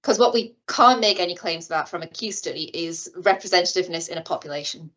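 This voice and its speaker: A woman explains research methods at length over a video call, leaning on "you know" and "kind of" as she goes. Her voice sits on the lower side. The sound is dull and muffled. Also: expressive, fast talker, a bit bright. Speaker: invited expert. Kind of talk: online seminar explanation.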